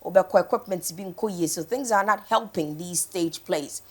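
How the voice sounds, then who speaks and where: A woman's voice: fairly low pitch, moderate pace, husky. A woman with a Ghanaian accent chatting casually as a morning TV host, switching between two languages. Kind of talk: casual TV chat, code-switching.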